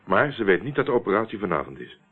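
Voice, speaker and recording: An unflappable investigator with a low voice, dry and assertive in his questioning. It is Dutch radio drama, heard through a narrow, muffled band like an old broadcast. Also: big pitch swings, fast, breathy edge. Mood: calm, explaining.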